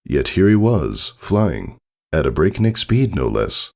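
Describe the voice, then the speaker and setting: A man with a low voice narrates a story from a script. There is fry in his tone, and his delivery moves expressively up and down. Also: sing-song, slow, a little mellow. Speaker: fan fiction reader, narrator.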